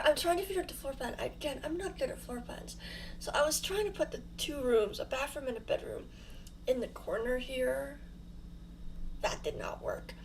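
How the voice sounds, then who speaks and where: A woman's voice: high-pitched, slight background noise, low-quality recording. A young woman hosting her channel, talking casually over a home build in a rambling, self-deprecating, giggly way, her mouth sore from fresh dental fillings. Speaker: YouTuber host.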